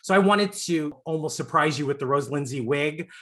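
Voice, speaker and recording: A man's voice: fairly high pitch, moderate pace, bright, forceful tone. A man around forty from the American South, hosting a casual celebrity interview in an effusive, campy, joking way. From born in Long Island, raised in Florida. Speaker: interviewer host.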